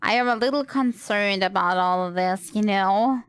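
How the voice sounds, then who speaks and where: A woman's voice: medium pitch, moderate pace, smooth, no echo. A woman livestreaming a game in a casual way, musing aloud and chatting to the characters.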